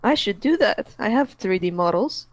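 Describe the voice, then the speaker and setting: Woman, mid-pitched voice, casual podcast banter, blunt, opinionated, sweary. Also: expressive, moderate pace, dry recording. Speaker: podcast co-host. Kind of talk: casual gaming podcast chat among friends.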